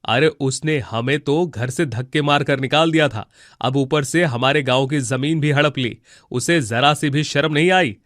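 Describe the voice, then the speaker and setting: A man's voice: mid-range voice, unhurried, fairly bright tone, no echo, studio quality, natural voice. An actor playing a stern, indignant elderly husband and father in a cartoon moral story, scolding and reproachful. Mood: bitter.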